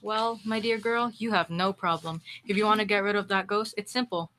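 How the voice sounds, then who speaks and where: Sharp, irritable male boss acted by a young female. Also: medium pitch, steady tone, brisk, lightly acted, rough recording. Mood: irritated, scornful. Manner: blustery, cutting. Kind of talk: 1940s radio comedy acting.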